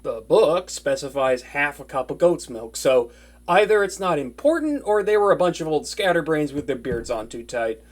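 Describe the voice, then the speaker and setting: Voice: medium pitch, sing-song, normal speed. A performer voicing an earnest, flustered young wizard in an intimate fantasy audio drama, chatty and prone to anxious stammering. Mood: thoughtful, chatty.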